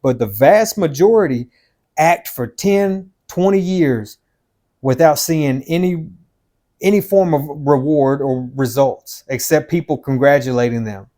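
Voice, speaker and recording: A man's voice: fairly high pitch, unhurried, mellow. A man in his fifties giving blunt, motivational life advice on a podcast. He repeats his points for emphasis and keeps calling the listener "man" and "dude". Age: 50s.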